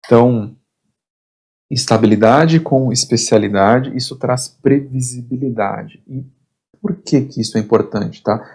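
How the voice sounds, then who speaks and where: A man teaching an online course lecture, his voice husky and going hoarse. He explains in short bursts, repeats himself and keeps checking that the listener is following. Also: mid-range voice, unhurried, darkish tone. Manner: explains in short phrases, constant "tá?" check-ins, repeats words. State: getting hoarse. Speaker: instructor.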